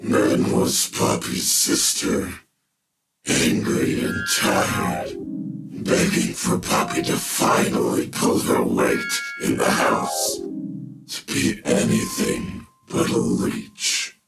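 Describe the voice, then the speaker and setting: Sci-fi audio drama inner voice: rock-bottom pitch, crawling pace, solemn gravelly whisper, ominous. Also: male voice, lively intonation, exaggerated voice. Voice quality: very deep gravelly whispery rasp. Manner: slow, deliberate, solemn delivery. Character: ominous male inner voice narrating a hive-minded man's story. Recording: echoing voice under loud music. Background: loud music.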